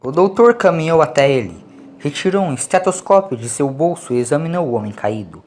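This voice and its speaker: A man's voice: fairly high pitch, expressive, fairly quick. A man reads a dramatic scripted story as an audiobook narrator. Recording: clear background noise, ambient sound.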